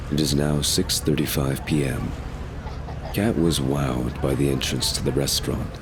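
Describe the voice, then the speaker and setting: A man narrating a scripted story as the narrator of an audio drama. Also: extremely low pitch. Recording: noisy urban ambience behind.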